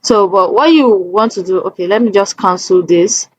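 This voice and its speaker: A woman's voice: mid-range voice, normal speed, lo-fi sound, crowd noise. A woman presenting a casual step-by-step tutorial, instructive and often checking that the listener is following.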